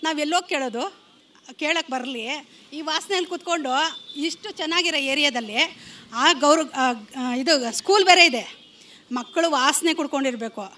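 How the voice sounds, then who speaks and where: A woman's voice: high-pitched, moderate pace, pushed and bright, a little hiss or hum. A woman speaking as a local resident in a street interview, complaining insistently. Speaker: local resident giving opinion. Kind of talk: street vox pop complaint.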